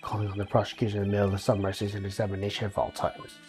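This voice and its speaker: A woman voicing a cool, condescending male prosecutor in a courtroom game, speaking quietly and formally. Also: a bass voice, flat delivery, rapid-fire, soft background music, voice pushed down. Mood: cool, disdainful.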